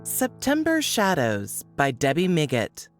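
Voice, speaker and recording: Low, expressive female narrator. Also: a little slow, music in the background. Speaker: book blurb reader. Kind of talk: scripted dramatic book-blurb narration.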